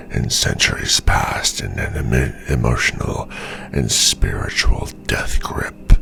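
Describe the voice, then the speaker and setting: An extremely deep, gravelly ancient werewolf. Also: male voice, pushed and bright, exaggerated voice. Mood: scornful. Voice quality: gravelly, breathy growl. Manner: slow, deliberate, theatrical speech. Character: ancient werewolf veteran, menacing and sardonic beast. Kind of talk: ASMR fantasy roleplay acting.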